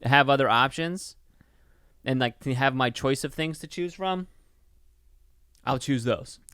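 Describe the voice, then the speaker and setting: A man's voice: medium pitch, unhurried, fairly bright tone, clean tone, no room sound. Casual, slangy man with clean, dry sound. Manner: slangy, profane, riffs in mock quotes. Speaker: podcast co-host. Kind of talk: casual movie review chat.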